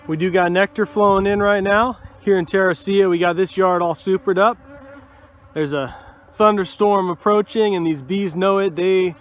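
A man in his thirties, a beekeeper, explaining things in a relaxed, casual way to a phone held at arm's length outdoors. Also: high voice, moderate pace. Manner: relaxed explanatory talk with fillers. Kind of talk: casual instructional vlog. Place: outdoors at a bee yard. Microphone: phone camera at arm's length. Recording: faint outdoor noise.

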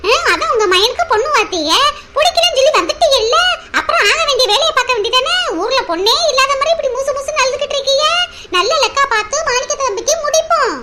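A woman's voice: very high voice, expressive, fast, pushed and bright, a little hiss or hum, exaggerated voice. A woman voicing an inquisitive, consoling village neighbour in a cartoon, coaxing and questioning emphatically in a rural southern Tamil Nadu accent.

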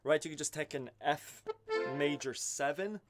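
A man's voice: fairly high pitch, normal speed, over loud music. A man in his thirties, an accordion teacher, chatting casually and animatedly on a live stream and often correcting himself. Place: small home studio, indoors. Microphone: studio mic on a stand. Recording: accordion playing beneath the voice. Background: his own accordion playing.

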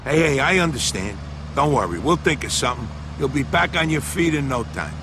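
A man's voice: medium pitch, lively intonation, brisk, noisy background, close to an everyday voice. A man playing a brash, upbeat gangster sidekick in a video game, blunt and wisecracking. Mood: reassuring.

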